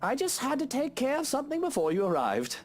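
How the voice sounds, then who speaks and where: A man voicing a gruff but indulgent, foul-mouthed godfather, teasing and exasperated, in a visual novel game. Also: very high voice, huge pitch swings, fast, faint music behind, pitched above the performer's own voice, full character voice.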